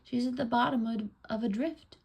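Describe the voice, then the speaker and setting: A woman plays a cautious young girl in a storybook who sees trouble coming. The delivery is firm and disapproving, with heavy creak and a husky grain. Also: a little high, steady tone, moderate pace, darkish tone, pitched above the performer's own voice. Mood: dismayed. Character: sensible, wary sister, a disapproving young girl.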